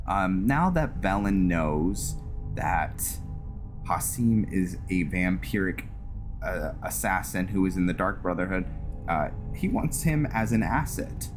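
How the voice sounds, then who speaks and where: Male gameplay host: low register, unhurried, casual, thinks aloud, clear music bed underneath. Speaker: gaming video host. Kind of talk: casual gameplay commentary with roleplay.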